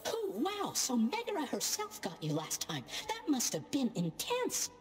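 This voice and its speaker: A woman voicing a bubbly, nervous young female underworld spirit in a video game, gushing and halting awkwardly. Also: high-pitched, wildly swooping pitch, faint music behind, exaggerated voice.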